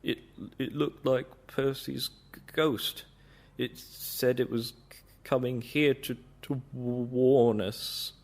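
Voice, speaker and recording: A man's voice: medium pitch, steady tone, slow, darkish tone, voice pushed up. A man voicing a nervous, kindly old male tram engine who speaks in a shaky, stammering way in a storybook reading. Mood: frightened.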